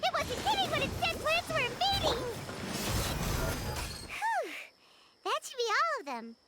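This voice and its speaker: A woman playing a tiny, excitable, childlike floating companion who exclaims in a squeaky voice and talks of herself in the third person in a video game. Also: measured pace, very noisy, full character voice. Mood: excited. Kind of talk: video game cutscene dialogue. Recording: loud game music behind. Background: loud game music.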